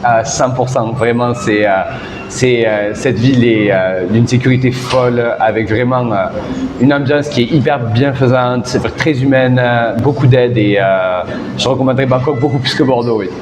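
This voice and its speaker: A man's voice: mid-range voice, moderate pace. Young Frenchman, echoey and noisy. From southern France. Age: 20s. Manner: relaxed, enthusiastic, many hesitations. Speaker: interviewee. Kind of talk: casual street interview.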